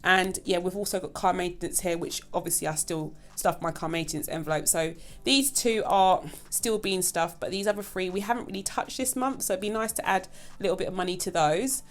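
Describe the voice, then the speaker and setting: A woman's voice: on the low side, a little fast. A woman hosting her own budgeting channel, talking casually and chattily to her viewers. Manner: chatty, keeps addressing viewers as "guys". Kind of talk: casual vlog chat.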